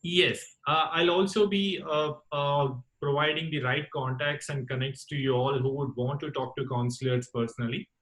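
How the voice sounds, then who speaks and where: A man hosting an online call-in talk session, speaking in a courteous, formal and halting way. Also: on the high side, fairly even intonation, fairly bright tone, poor audio. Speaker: host of a campus radio talk session. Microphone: online group call.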